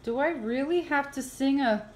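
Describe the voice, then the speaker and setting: A woman reading a children's picture book aloud in an expressive, playful way, with exaggerated reactions. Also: sits high, normal speed. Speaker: early childhood program coordinator reading aloud. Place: indoors, filmed over an open book.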